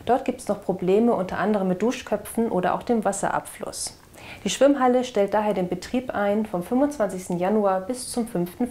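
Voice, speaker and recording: A woman's voice: medium pitch, a little mellow. Young woman presenting scripted news. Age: young adult. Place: indoor video studio. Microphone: lapel mic.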